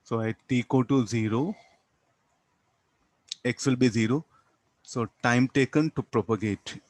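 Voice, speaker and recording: Indian guy teaching physics class, mid pitch, asks questions then answers them, pretty dry recording. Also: unhurried, dry recording. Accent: Indian English. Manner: didactic, asks and answers own questions, tags statements with "no?". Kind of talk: classroom physics lecture, working through a problem.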